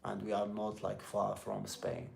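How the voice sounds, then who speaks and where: A middle-aged man with an Algerian accent talks to live viewers in a low, creaky voice. His English is halting and circles back on itself as he shares casual motivational thoughts. The room has a noticeable echo around him. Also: monotone, a little mellow. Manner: rambling, repetitive, halting second-language English. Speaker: livestream host. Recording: roomy echo with faint background noise.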